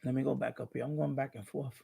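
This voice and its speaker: A man hosting a casual live stream, rambling and repeating himself in halting, unscripted sentences. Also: mid-range voice, steady tone, gravelly, mellow, breathy edge. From New York City, USA.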